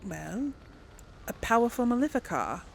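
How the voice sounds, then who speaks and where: Creaky-voiced woman gamer. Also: a little high, big pitch swings, slight background noise. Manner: musing aloud, halting. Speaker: gaming channel host. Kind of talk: casual let's play commentary with light role play.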